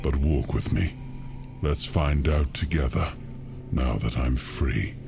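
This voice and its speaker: A man's voice: rumbling low, slow, vocal fry, full character voice. An actor playing a weary ancient king in a video game, solemn and brooding, with a lost air. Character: weary ancient king, amnesiac, freed from long imprisonment. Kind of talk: dramatic video game cutscene acting and narration. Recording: echoing hall reverb under prominent music. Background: music.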